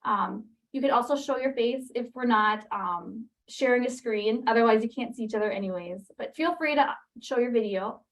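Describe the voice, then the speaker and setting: A woman opening a webinar, explaining the program and the call settings in a brisk way with ums and restarts. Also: fairly high pitch, poor audio. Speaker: webinar host for a training program. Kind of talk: webinar introduction. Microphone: computer mic on a video call.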